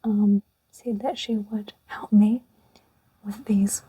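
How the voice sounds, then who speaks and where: A woman's voice: medium pitch, flat delivery, slow, mellow, breathy edge, dry recording. A young woman whispering in a rambling, chatty way for an ASMR video, close to the mic. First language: English. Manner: rambling and chatty, with little laughs. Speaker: ASMR channel host.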